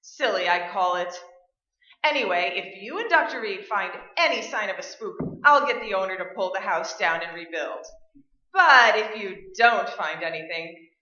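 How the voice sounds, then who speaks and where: An actress playing a brisk, practical, no-nonsense woman in a staged suspense radio play, emphatic and matter-of-fact. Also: medium pitch, expressive, pushed and bright. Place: indoor stage, live performance. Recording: roomy, dull stage recording.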